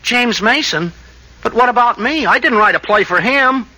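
A man's voice: extremely high pitch, lively intonation, normal speed, pushed and bright, heavily acted. A man playing a brash, vain, lovestruck young fix-it man in 1940s radio comedy style, with an exaggerated wisecracking delivery. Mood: indignant. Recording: noisy vintage radio broadcast.

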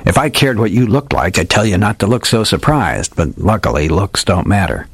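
A man's voice: lowish voice, expressive, normal speed, breathy edge, no echo. A man reading a story aloud, voicing an old male hardboiled detective with theatrical tough-guy slang. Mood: smug.

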